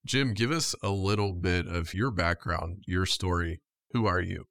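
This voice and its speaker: American guy hosting a podcast, lowish voice, really dry sound, intros and questions. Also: a little slow, vocal fry, fairly bright tone, no echo. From Richmond, Virginia, United States. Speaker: podcast host.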